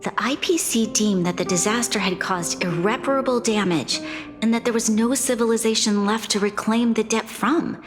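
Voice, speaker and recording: A woman's voice: a little high, natural voice. Woman playing an earnest young female leader, reverberant. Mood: grave, troubled. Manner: formal, measured, earnest. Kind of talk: video game cutscene voice acting. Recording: long hall reverb, background music.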